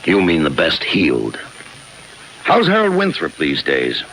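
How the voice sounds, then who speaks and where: Hardboiled homicide cop for vintage radio noir: low, dry, deadpan, on a noisy old recording. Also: male voice, unhurried, full character voice. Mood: dry, needling. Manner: laconic, wry, deadpan. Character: hardboiled, sardonic male police homicide lieutenant narrating his case. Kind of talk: 1950s radio crime drama acting, noir narration.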